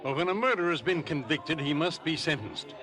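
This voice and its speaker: A man's voice: on the high side, expressive, brisk, lightly acted. An actor playing a stern, stuffy older father and dignitary in a 1950s radio drama, proper and disapproving. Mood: flustered, formal. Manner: proper, disapproving, hesitant when flustered. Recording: vintage 1950s radio broadcast with music.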